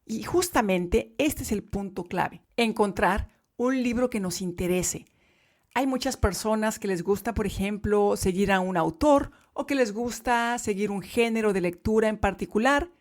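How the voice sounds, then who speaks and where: Clear, emphatic Spanish teacher woman. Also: medium pitch. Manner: clear, slow, emphatic delivery for learners. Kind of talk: podcast lesson for learners.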